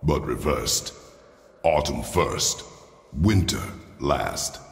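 An actor playing a stern, gruff warrior father in a video game, with terse, commanding, gravelly speech. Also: expressive, extremely slow, exaggerated voice. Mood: brooding, deliberate. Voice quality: very deep, gravelly. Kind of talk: cinematic video game acting. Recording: roomy reverberant stone chamber, game ambience.

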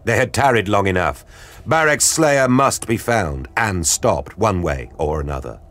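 A man narrating an audio drama in a grave, measured storytelling style. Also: low voice, fairly bright tone, slight background noise. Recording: studio narration over music. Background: dramatic music.

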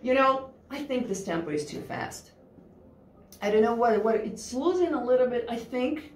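A woman's voice: on the high side, lively intonation, normal speed, a little hiss or hum. A woman in her thirties teaching piano in a casual, chatty way, thinking aloud with fillers. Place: studio room with a grand piano. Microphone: distant camera mic. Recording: roomy, distant mic with piano.

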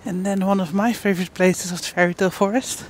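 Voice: medium pitch, fairly even intonation, fast. Casual vlogger with outdoor background noise. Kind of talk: casual walking vlog chat. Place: outdoors walking in a snowy theme park.

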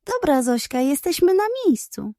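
A woman voicing a sly, scheming, excitable male pug in a children's storybook, with a theatrical, flattering manner. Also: sits high, normal speed, no echo, studio quality, pitched above the performer's own voice.

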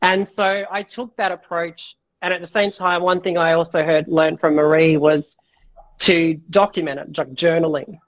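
A man guesting on a business interview, talking frankly and casually with many fillers and the odd swear word, his voice going a little hoarse. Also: on the high side, level tone, moderate pace, rough recording. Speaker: guest entrepreneur.

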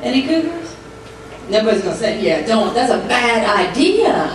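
A woman in her forties performs stand-up with a high, playful, animated voice. She is telling stories on a club stage, heard from far off through heavy echo, with clear audience noise around her. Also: moderate pace. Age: 40s. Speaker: stand-up comedian. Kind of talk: live stand-up comedy routine. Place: comedy club stage, indoors. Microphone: handheld mic, captured by far camera. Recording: distant, echoey club recording with audience noise. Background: audience crowd noise and laughter.

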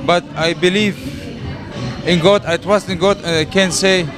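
Earnest boxer, broken English, music behind. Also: male voice, high-pitched, fairly even intonation, moderate pace, crowd noise. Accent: German. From Middle East. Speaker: heavyweight boxer. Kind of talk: interview answers. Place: large boxing gym, indoors. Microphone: handheld interview mic. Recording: clear background music. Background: music in the gym.

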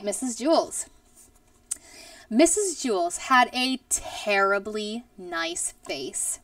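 Animated, high-voiced woman reading a children's story. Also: dry recording, recorded from a distance. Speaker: teacher reading aloud to pupils. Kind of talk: expressive read-aloud of a children's story. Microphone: headset boom mic.

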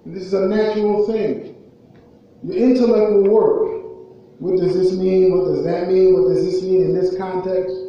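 American guy giving a khutbah, high voice, pauses and repeats for emphasis, really echoey and muffled from far away. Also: dark tone. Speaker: preacher giving a Friday khutbah. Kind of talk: sermon. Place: indoor prayer hall, at a raised pulpit.

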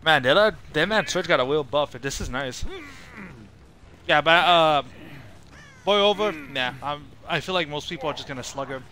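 A man's voice: fairly high pitch, normal speed, long pauses, pushed and bright. A young man giving casual, rambling, opinionated live commentary as he streams a video game. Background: horror video game sound.

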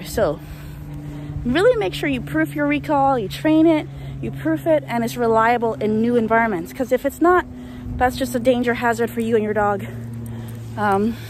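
Young woman talking dog training on a walk, kinda high voice, phone held out, faint rain and wind behind. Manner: chatty, ranting, laughs often; walking while talking, commands her dog. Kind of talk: walk-and-talk vlog. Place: open grassy field outdoors in rain. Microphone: phone held at arm's length. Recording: faint outdoor rain ambience.

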